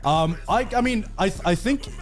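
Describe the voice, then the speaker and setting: Male casual talker, lively intonation, stammering starts, faint music behind. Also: high-pitched. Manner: halting, stammering. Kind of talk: casual chat.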